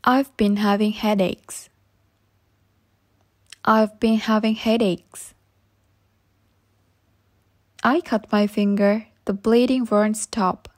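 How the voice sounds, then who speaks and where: A woman reading short English practice phrases slowly and saying each one twice for learners. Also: mid-range voice, fairly even intonation. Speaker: English teacher.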